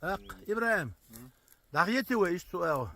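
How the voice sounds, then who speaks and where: A man from Chechnya chatting casually with companions while hiking, tossing out loud offhand remarks and short questions outdoors in a mountain gorge. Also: sits high, lively intonation, rapid-fire, vocal fry. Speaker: hiker filming a trip. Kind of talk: casual chat among companions on a hike.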